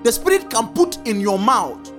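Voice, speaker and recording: A preacher teaching a congregation, stressing points in slow drawn-out repeated commands. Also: medium pitch, faint music behind. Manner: lively sing-song emphasis, slow drawn-out repeated commands. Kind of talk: sermon teaching.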